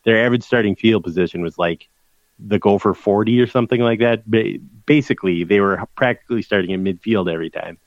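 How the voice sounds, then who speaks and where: A man's voice: on the low side, fast talker, no echo, dull audio. A man co-hosting a casual sports podcast, explaining college football numbers in a conversational way with frequent restarts. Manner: conversational, stat-heavy, restarts mid-sentence.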